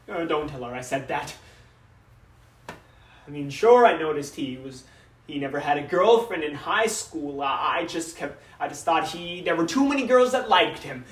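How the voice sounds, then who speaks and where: A man performing a dramatic monologue as a proud, grieving middle-aged father, emotive and full of pauses. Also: on the high side. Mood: rueful. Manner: theatrical, emotive, with dramatic pauses and repeated phrases. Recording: echoey room, distant microphone.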